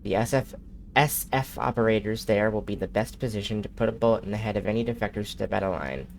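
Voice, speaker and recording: A man streaming a video game who reads in-game messages aloud in a steady, unhurried way, with a nasal voice and occasional slips. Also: fairly low pitch, vocal fry, slight background noise. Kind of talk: reading in-game messages aloud during a live gameplay stream.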